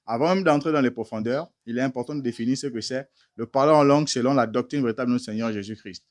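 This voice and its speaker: A man's voice: mid-range voice, fast talker. A man preaching a teaching sermon, emphatic and firing rhetorical questions at listeners he calls beloved brothers.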